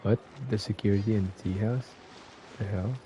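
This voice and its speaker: A man's voice: fairly low pitch, steady tone, normal speed, dark tone, slight background noise. A man live-streaming a video game, making offhand comments as he plays. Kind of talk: offhand commentary while playing.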